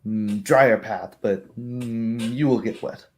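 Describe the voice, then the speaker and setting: A man voicing a wary, weary female kobold in a tabletop roleplay, speaking haltingly with pondering hums. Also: on the low side, fairly even intonation, darkish tone. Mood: wary, compliant.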